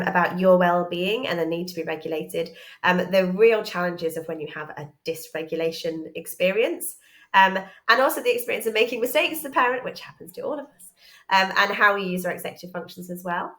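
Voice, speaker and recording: This is a woman with a slightly low voice. She presents a course in an informal way, explaining it piece by piece. Her sentences often pause and pick up again with small hesitant asides. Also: a bit bright. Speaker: educational psychologist and course presenter.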